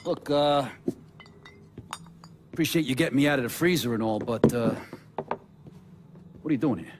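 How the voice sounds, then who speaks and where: An actor playing a bitter middle-aged mobster in a video game cutscene, with blunt, profane street talk. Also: male voice, mid-range voice, normal speed, background noise, close to an everyday voice.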